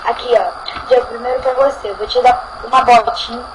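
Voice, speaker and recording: A woman's voice: on the high side, steady tone, normal speed, background noise. A woman with a Brazilian Portuguese accent voicing a father in an improvised Minecraft roleplay, with a bossy, playful parental tone.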